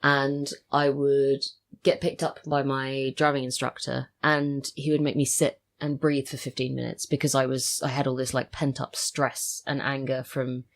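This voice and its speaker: A woman's voice: very deep voice, little pitch movement, normal speed. A British woman talking casually as a podcast guest, sharing opinions and personal anecdotes. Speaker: podcast guest, audio drama writer and voice actor.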